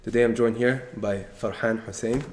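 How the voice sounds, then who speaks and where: A man hosting an interview, asking halting questions filled with ums and uhs. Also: lowish voice, steady tone, slight background noise. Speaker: podcast host. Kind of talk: casual interview conversation. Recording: echoey room.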